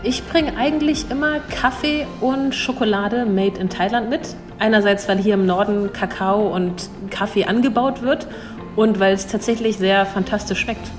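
A woman's voice: mid-range voice, moderate pace. Young woman interviewee, thoughtful and hesitant, laughs easily, faint music under her. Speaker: cultural attaché at an embassy. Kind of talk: interview answers.

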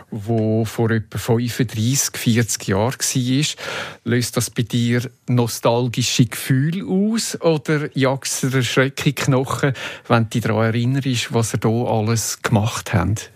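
Man, Swiss German accent, mid-range voice, podcast host, measured delivery, very dry recording. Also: medium pitch, fairly even intonation, no room sound. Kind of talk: moderated podcast interview.

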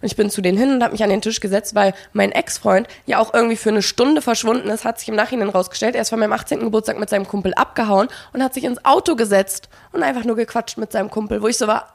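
A woman's voice: fairly high pitch, gravelly. A young woman co-hosting a podcast, telling a personal story in casual chat and acting out the quoted dialogue. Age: young adult. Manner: fast, animated, acts out quoted dialogue, frequent fillers. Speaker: podcast co-host.

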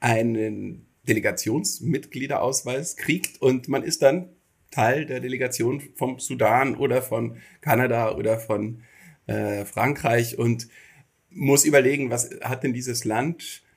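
A man with a husky, slightly rough voice at medium pitch, answering as an interview guest. He explains in a casual, reflective way, feeling for the right words. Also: measured pace. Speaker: interview guest, theatre maker.

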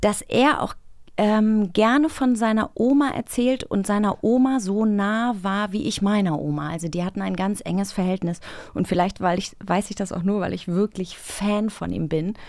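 A woman co-hosting a podcast in casual, enthusiastic, expressive chat. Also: medium pitch, normal speed, no room sound, clean studio sound. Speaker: podcast co-host.

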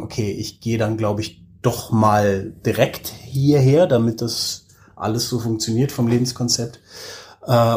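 A middle-aged man from Hesse, Germany, chatting casually and with easy humour as an interview guest. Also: medium pitch. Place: hotel room.

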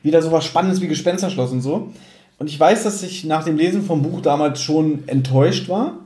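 Male podcast host, mellow higher-pitched voice, blunt casual review talk, roomy sound. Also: brisk. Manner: opinionated, blunt, colloquial swearing.

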